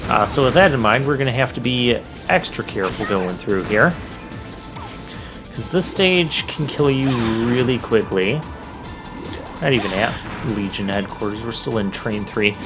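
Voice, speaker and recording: A man comments casually over a video game in a medium-pitched voice. He is informal and chatty, thinking aloud as he plays. Game music runs clearly underneath. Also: animated, a little slow, long pauses. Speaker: video game let's player. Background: game music and crashing effects.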